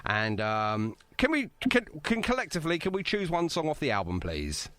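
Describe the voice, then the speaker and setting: Bloke hosting a radio chat, really up and down voice, jokey, gushing, dry sound. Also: male voice, fairly high pitch, huge pitch swings, moderate pace, fairly bright tone, no echo. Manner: jokey, effusive, chatty. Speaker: radio show host. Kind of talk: casual, bantering radio interview.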